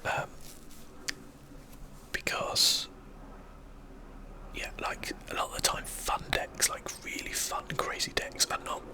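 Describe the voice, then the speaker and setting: A man's voice: takes it slowly, long pauses. A man whispering ASMR commentary over a game, musing and thinking aloud in short phrases. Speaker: gaming video host.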